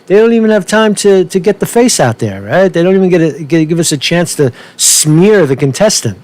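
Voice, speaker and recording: Male commentary host, slightly high voice, profane and slangy, loose rambling delivery that stumbles and repeats. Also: lively intonation, brisk, a little mellow. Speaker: host of his own news commentary show. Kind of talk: casual rambling news commentary.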